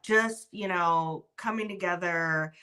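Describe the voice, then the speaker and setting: A woman's voice: fairly low pitch, slow, clear, resonant tone. A woman in her forties from Canada with a slight Texan accent, talking casually and animatedly as a podcast guest over a video call on a tablet. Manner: bubbly, animated storytelling. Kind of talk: casual interview chat. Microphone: tablet mic on a video call.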